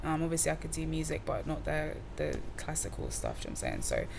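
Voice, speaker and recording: A woman's voice: low voice, huge pitch swings, quick pace, gravelly, a little mellow, slight background noise. A young woman from Cardiff, chatting casually in an interview with loose slang, fillers and restarts. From Cardiff, Wales. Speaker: musician guest.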